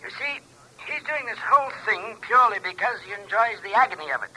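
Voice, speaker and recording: An actor playing a precise, scholarly asylum psychiatrist in a 1950s radio drama, formal and hesitant, heard through a telephone filter. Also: male voice, sits high, expressive, fairly quick, sharp tone, full character voice, poor audio. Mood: clinical, deliberate. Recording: filtered phone voice, vintage radio recording.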